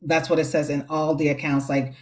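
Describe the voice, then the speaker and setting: A woman's voice: low voice, little pitch movement, fairly quick, darkish tone. A woman hosting a casual live stream, giving spiritual guidance in a reassuring, chatty way. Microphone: phone on a live stream.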